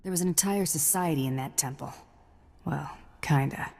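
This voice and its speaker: A tough female bounty hunter for a video game. Her voice is deep for a woman and husky, delivered deadpan and cocky. There is long reverb on it. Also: normal speed. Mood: cool.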